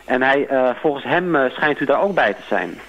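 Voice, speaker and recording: A man's voice: medium pitch, animated, normal speed, lo-fi sound. A man calling in to a radio show, chatting casually in a polite, tentative way. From Netherlands. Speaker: radio caller. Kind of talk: casual phone-in chat.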